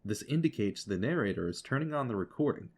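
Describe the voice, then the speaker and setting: A man's voice: mid-range voice, expressive, quick pace, darkish tone. A man giving an explanatory tutorial voice-over as an audio producer, instructive and speaking directly to the listener.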